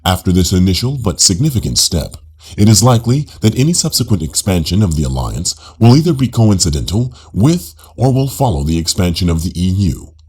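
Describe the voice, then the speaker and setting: A man reading a nonfiction audiobook in a formal, measured manner. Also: deep voice, big pitch swings, a little mellow, breathy edge. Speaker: audiobook narrator.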